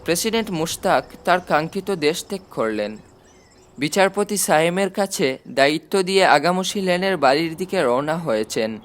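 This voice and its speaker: A man's voice: a little high, lively intonation, moderate pace. A man reading a story aloud as scripted narration. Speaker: audiobook narrator.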